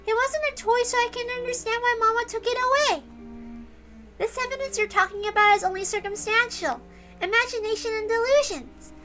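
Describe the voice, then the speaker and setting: Visual novel little girl: tiny high bright voice, earnest, serene, patiently lecturing optimist. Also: fairly even intonation, soft background music. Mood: calm, reasoning. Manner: measured, reasoning, gently lecturing. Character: serene nine-year-old girl, earnest, gently preachy, unshakably optimistic. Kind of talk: dramatic reading of a visual novel.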